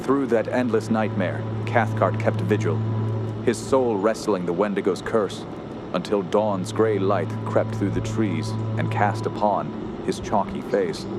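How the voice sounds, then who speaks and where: A man's voice: on the low side, a little slow, natural voice. A man playing a haunted young nephew who narrates a horror radio play in a grave, ornate, ominous manner. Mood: weary, somber. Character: dread-stricken young nephew recounting a horror. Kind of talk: scripted first-person horror narration. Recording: loud music bed under voice.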